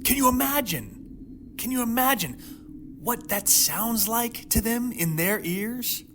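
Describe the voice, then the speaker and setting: A man delivering a dramatic Bible teaching monologue, emphatic, with rhetorical questions and pauses for effect, over noticeable background noise. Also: very high voice, animated, a bit bright. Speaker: host of a Bible teaching series.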